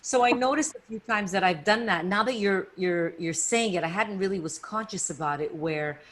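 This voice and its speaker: A woman's voice: mid-range voice, normal speed, a bit bright. A woman hosting a casual, heartfelt podcast conversation, reflective and full of restarts. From Canada or US, Italian family. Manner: reflective, many restarts and fillers. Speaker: podcast host.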